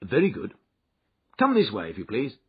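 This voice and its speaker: Man narrating, putting on a big hearty old-school inspector, loud and bluff, voice swings around, slightly muffled recording. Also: lowish voice, huge pitch swings, normal speed, slightly rough, heavily acted. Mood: matter-of-fact. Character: bluff, hearty middle-aged male police inspector.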